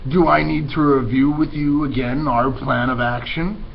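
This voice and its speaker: A man voicing a suave, scheming villain rat from a storybook, with sly, pompous, drawn-out enunciation. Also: mid-range voice, steady tone, vocal fry, voice pushed up, natural voice. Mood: smooth, sly. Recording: muffled recording with background noise.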